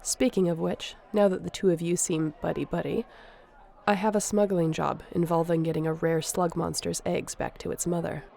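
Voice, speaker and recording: A woman playing a wry, businesslike boss of smugglers in an audio roleplay, speaking dryly with a dark, creaky voice. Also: on the low side, steady tone, normal speed. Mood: amused, businesslike.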